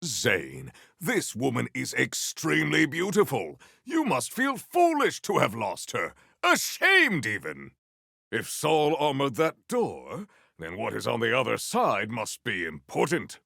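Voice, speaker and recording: An actor playing a proud, honorable warrior in a video game, with formal, stately, theatrical diction. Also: male voice, mid-range voice, huge pitch swings, unhurried, vocal fry, bright, forceful tone, no room sound, studio quality, exaggerated voice. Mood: earnest, formal, then scornful. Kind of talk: video game character voice lines.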